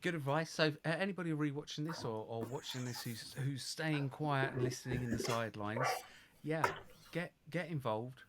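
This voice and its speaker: A man hosting a casual live video chat, easygoing and quick to laugh, letting his sentences trail off. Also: medium pitch, very noisy. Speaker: host of a live hairdressing stream.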